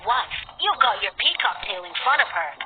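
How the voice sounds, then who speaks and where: A woman's voice: mid-range voice, very animated, moderate pace, background noise, pitched below the performer's own voice, lightly acted. A woman voicing a bickering, sarcastic young husband in a cartoon story, with a blunt, teasing manner. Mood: jealous anger. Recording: thin phone-like sound, loud music behind.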